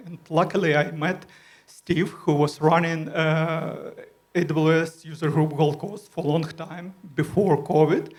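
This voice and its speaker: A man with a Ukrainian accent and a somewhat high voice answers panel questions on a conference stage. His English is careful and halting, full of pauses and fillers, with a shy, understated delivery. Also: steady tone. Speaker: panelist, user group organizer. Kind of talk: live panel discussion. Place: conference stage before an audience.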